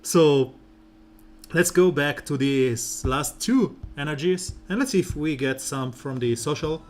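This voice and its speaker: Slow, soft-spoken man streaming a game. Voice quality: soft, smooth and deep when slow. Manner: relaxed, rambling, many fillers. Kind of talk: casual gameplay commentary.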